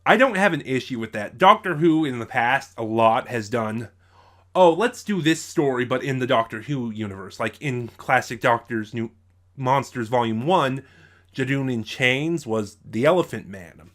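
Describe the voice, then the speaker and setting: A man records an unscripted review in a medium-pitched voice. He talks haltingly, as if working out his opinion aloud, and often breaks off to rephrase a sentence midway. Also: lively intonation, fairly bright tone. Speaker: YouTube audio drama reviewer.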